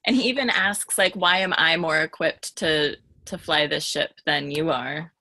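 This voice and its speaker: A woman with a bright, forceful edge to a fairly low voice chats in a group discussion. She is frank and matter-of-fact, speaking plainly and conversationally. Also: moderate pace, dry recording. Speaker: podcast panelist.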